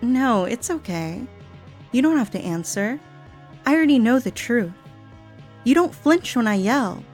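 A clingy, dangerously unstable young woman coos in a breathy, sing-song voice that sits a little high, as a woman acts her out. Her sweetness keeps sliding into menace, with faint music playing behind her. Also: expressive, a little slow, darkish tone. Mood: low menacing. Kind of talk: audio roleplay monologue.

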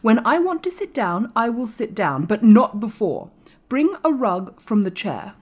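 A woman's voice: a little high, very animated, unhurried, darkish tone, husky, voice pushed up, full character voice. A woman voicing a proud, frail boy in a storybook, giving orders in a grand, commanding way. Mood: determined.

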